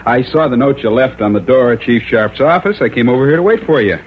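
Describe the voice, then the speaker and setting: An actor playing a cocky, shifty suspect in a radio crime drama, slangy and defensive. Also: male voice, medium pitch, animated, quick pace, natural voice. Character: cocky, shifty small-town young tough under suspicion. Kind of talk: old-time radio crime drama acting. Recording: muffled, noisy old radio broadcast.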